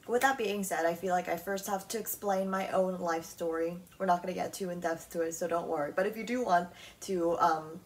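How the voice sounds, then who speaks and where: Casual young woman podcast host, rambling and filler-heavy, lowish voice, sits a bit back from the mic. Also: a little fast, recorded from a distance. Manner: chatty, frequent "like" and "um" fillers. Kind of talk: casual solo podcast chat.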